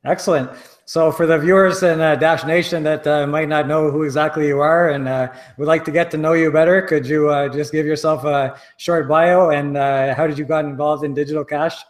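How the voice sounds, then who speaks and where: A man hosting an interview show, relaxed and pausing often with fillers. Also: on the high side. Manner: relaxed, with frequent "uh" pauses. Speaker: host.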